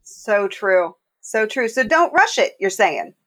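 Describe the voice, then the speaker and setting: A woman's voice: mid-range voice, sing-song, takes it slowly, pushed and bright. A woman hosting a podcast interview, enthusiastic and asking open questions. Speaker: podcast host. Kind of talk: casual interview.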